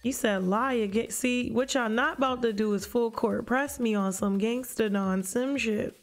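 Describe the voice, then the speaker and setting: A young woman livestreaming a life-sim game, chatting casually with her viewers in a lively, joking, profane way on a headset mic. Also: a little high, unhurried. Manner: lively, joking, talks back to chat, profane slang. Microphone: headset mic at desk. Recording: game music playing behind.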